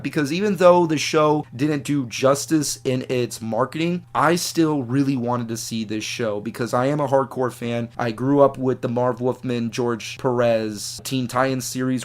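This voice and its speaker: A man with a hoarse voice, recovering from losing it, giving a casual, rambling spoken review to his viewers. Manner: chatty and rambling, big pitch swings on emphasis. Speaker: video reviewer.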